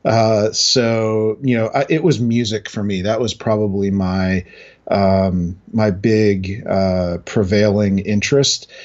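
A middle-aged American man from New England speaking in a halting, reflective way as a guest in a casual interview. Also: lowish voice, steady tone, a little mellow, lo-fi sound. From New England, United States. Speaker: interview guest, industry analyst.

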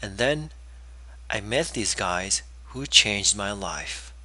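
A man's voice: lowish voice, expressive, slow, sharp tone, poor audio. A man from South Korea, hosting a podcast in a playful, upbeat way. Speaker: podcast host. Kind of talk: introducing a podcast.

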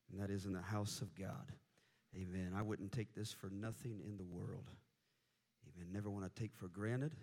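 A man in his forties from Indianapolis, a missionary preacher giving a Pentecostal sermon in a church, telling folksy, jokey stories and punctuating them with amens. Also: fairly low pitch. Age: 40s. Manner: shouting, fervent praise exhortation. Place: church sanctuary.

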